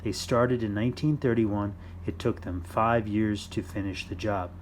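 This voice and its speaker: A man reading a children's nonfiction book aloud in simple, deliberate phrases for young listeners. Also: fairly low pitch, steady tone, a little hiss or hum. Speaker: children's storytime reader.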